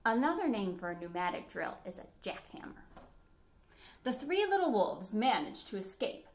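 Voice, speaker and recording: A middle-aged woman reads a children's picture book as a storytime host. Her voice sits at a medium pitch, and she tells the tale expressively, leaning on key words. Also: moderate pace. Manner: animated storytelling with dramatic emphasis. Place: living room at home, indoors.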